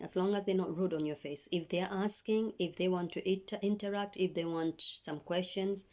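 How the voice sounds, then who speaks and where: A middle-aged woman from Tanzania with a Tanzanian accent speaks in a low voice. Swahili is her first language. She offers parenting advice earnestly, often pausing and restarting her sentences. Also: a little mellow. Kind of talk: personal video message.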